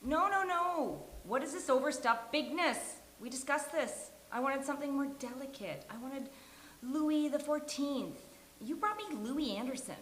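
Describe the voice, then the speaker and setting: Woman playing high-voiced emphatic sitcom woman, echoey. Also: lively intonation, a little slow, a bit bright. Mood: exasperated. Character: frank, dramatic, neurotic sitcom woman, interior designer. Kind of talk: sitcom script table read. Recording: hissy, roomy live recording.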